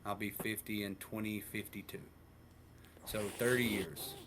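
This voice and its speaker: A man in his twenties, a teacher and coach, chatting casually on a podcast and working through numbers out loud. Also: fairly low pitch, measured pace, noisy background. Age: 20s. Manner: relaxed, rambling, works through numbers aloud.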